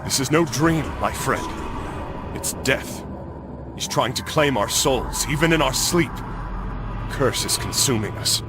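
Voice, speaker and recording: A man's voice: medium pitch, expressive, a little slow, long pauses, close to an everyday voice. An actor playing an earnest, vengeful young nobleman and cursed crusader in a video game, speaking with solemn drama. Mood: grave. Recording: loud music behind.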